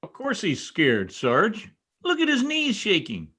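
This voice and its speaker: An easygoing state trooper who likes to josh, acted by a man in old radio drama style. His voice is husky and mid-pitched, with lively swings in its casual teasing. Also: a little slow, exaggerated voice.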